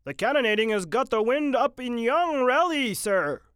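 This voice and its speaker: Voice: medium pitch, very animated, moderate pace, fairly bright tone, clean tone, no echo, studio quality, pitched above the performer's own voice. A narrator voicing an anxious, deferential army sergeant in a storybook reading, urgent and exclaiming. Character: anxious deferential wartime army sergeant, admiring his captain.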